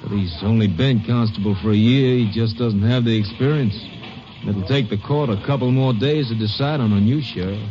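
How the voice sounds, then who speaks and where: A man's voice: lowish voice, moderate pace, mellow, close to an everyday voice. An actor playing a chatty, outspoken small-town newspaper editor in a 1950s radio drama. He talks in a wry, chatty way. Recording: muffled vintage radio broadcast.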